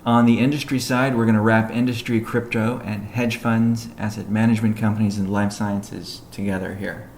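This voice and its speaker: A man giving a business briefing on a conference call as a company executive, in a measured, halting way with technical jargon. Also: lowish voice, level tone, gravelly, a little mellow. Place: conference call, echoey room. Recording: echoey room, faint background noise.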